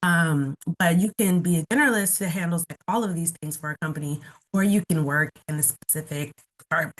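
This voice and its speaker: A woman's voice: lowish voice, brisk. A woman in her thirties from Los Angeles, getting over a cold, giving a casual, explanatory webinar talk full of "like" fillers. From Los Angeles, California. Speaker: guest speaker. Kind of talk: webinar presentation.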